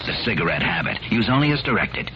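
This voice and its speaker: A male announcer reads a scripted commercial with very lively intonation. The old radio recording is muffled and noisy. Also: fairly low pitch, quick pace.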